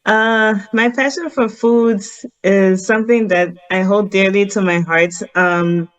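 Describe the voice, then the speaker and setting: A woman's voice: mid-range voice, steady tone, takes it slowly, dry recording, lo-fi sound. A woman from Zimbabwe, a vegan chef, answering interview questions earnestly over a video call, with frequent ums. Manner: earnest, explains step by step with many ums. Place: home in Harare.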